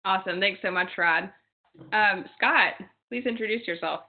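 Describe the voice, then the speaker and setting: A woman's voice: medium pitch, moderate pace. A woman moderating a virtual panel who introduces projects and guests in an organized way, with frequent "um"s. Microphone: video call. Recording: phone-like call audio.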